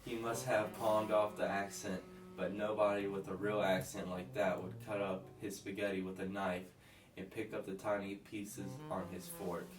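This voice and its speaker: A man's voice: low-pitched, little pitch movement, moderate pace, far from the mic, close to an everyday voice. A man voicing a smug, scornful tough cop in a read-aloud crime story. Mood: amused. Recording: echoey room, off mic, guitar music behind.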